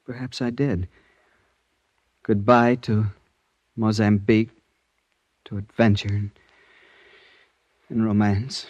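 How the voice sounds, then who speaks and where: An actor playing a dreamy, restless gentleman traveler in a husky, halting old-time radio drama style. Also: lowish voice, level tone, no room sound, highs cut off. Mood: wistful.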